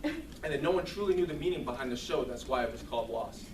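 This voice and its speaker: A man giving a humorous storytelling speech to an audience in a theatrical, deliberate comic manner. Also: mid-range voice, lively intonation. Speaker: speech contest contestant. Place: room with an audience. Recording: roomy, distant mic, clearly noisy.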